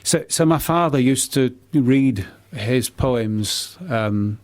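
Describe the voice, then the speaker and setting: Middle-aged male radio guest, writer, mid-pitched, hesitant and repetitive in unscripted answers, recorded dry. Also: a little mellow, no echo. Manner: hesitant, halting, often repeating words. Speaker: author and poet being interviewed.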